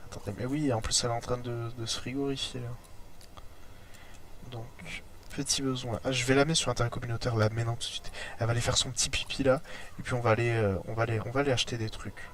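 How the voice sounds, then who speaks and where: A man's voice: medium pitch, level tone, fast, a bit bright, slight background noise. A man with a cold and a congested throat, chatting casually as he plays a life-simulation game and talks to his sim and his viewers. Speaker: let's play host. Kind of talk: casual gameplay commentary.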